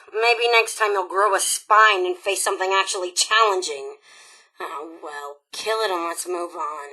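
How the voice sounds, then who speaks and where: A woman's voice: lowish voice, takes it slowly, husky, full character voice, rough recording. A woman voicing a crazy, murderous female raider robot in a video game, sassy, bossy and impatient, with sarcastic, slangy delivery. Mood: mocking, exasperated. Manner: sarcastic, slangy, theatrically expressive. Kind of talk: video game character dialogue.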